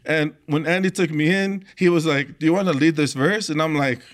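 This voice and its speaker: A man's voice: on the high side, a little fast. Reflective man, native Tongan speaker, telling his story. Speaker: church choir leader and worship singer. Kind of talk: personal storytelling in a casual interview.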